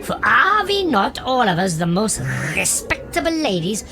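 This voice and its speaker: An imperious witch queen from a storybook, creaky and theatrical. Her pitch swings wildly as she commands with menace in oddly foreign phrasing, over clear background music. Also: big pitch swings, moderate pace. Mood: scolding, scheming. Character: Grand High Witch, imperious menacing female villain. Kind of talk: dramatised audiobook acting.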